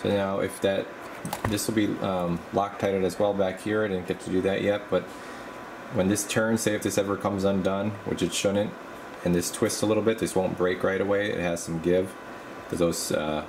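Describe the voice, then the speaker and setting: Male technician, casual and halting, creaky voice, explaining bench work over noticeable background hum. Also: sits low, flat delivery. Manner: informal, halting, thinking aloud while working. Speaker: radio repair technician presenting his work. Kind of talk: casual hands-on repair walkthrough. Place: indoor electronics workbench.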